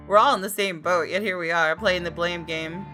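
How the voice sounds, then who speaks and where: A woman with a bright, forceful voice pitched a little low hosts a game playthrough. She reads and comments expressively and dramatically, with soft game music in the background. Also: fairly even intonation, normal speed. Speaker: gaming video host. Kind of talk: playthrough commentary and reading game narration aloud.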